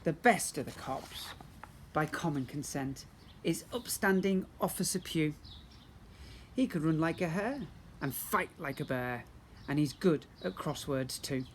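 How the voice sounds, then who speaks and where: A man's voice: fairly high pitch, expressive, measured pace, a little hiss or hum. A man reading a rhyming storybook aloud to young children like a teacher, theatrically and with asides to his listeners.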